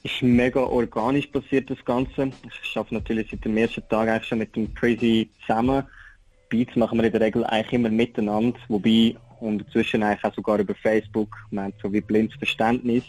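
A man's voice: fairly low pitch, little pitch movement, quick pace, a little mellow, no room sound, rough recording. A man with a Swiss German accent giving a casual interview as a rapper, talking loosely with English slang mixed in. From Aargau, Switzerland. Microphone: telephone.

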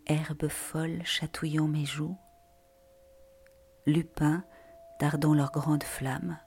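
A literary reading in a half-whispered, very husky voice. The phrasing is measured and slow, with plenty of creak at the edges. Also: mid-range voice, level tone, a little mellow.

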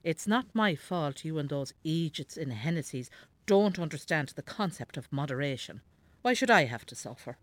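A woman playing an elderly, nagging, devout Irish mother in a radio drama, sharp-tongued and scolding. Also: deep voice, lively intonation, normal speed, no room sound. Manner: scolding, bossy. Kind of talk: naturalistic radio drama acting.

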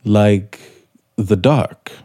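An actor playing a brooding, manipulative scriptwriter in 1950s radio suspense style, probing deliberately with dramatic pauses. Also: male voice, lowish voice, dark tone. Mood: intense, testing. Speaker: actor in the lead role. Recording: 1950s radio broadcast, music behind.

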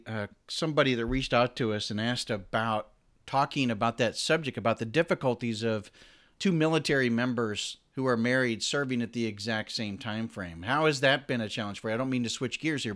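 Man, podcast host, chatty, explanatory, mid-pitched. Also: a bit bright. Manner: explanatory, rambling questions. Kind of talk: conversational interview.

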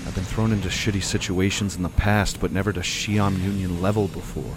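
A man as a low-voiced, uneasy sci-fi detainee. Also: fairly even intonation, fairly quick, background noise, close to an everyday voice. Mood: anxious, brooding. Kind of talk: first-person audio drama narration and dialogue.

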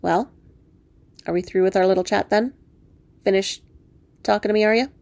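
A cheeky storybook frog prince, acted out by a woman in a creaky, close, dry voice. He woos with theatrical, courtly pomp and teases as he flirts. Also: medium pitch, fairly even intonation, moderate pace, darkish tone, dry recording, close to an everyday voice. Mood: teasing. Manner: theatrical, mock-courtly wooing.

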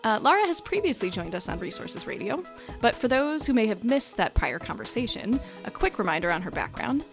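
A woman hosting a podcast, reading a measured scripted introduction and then interviewing her guest. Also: fairly high pitch. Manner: measured, with small filler restarts. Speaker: podcast host.

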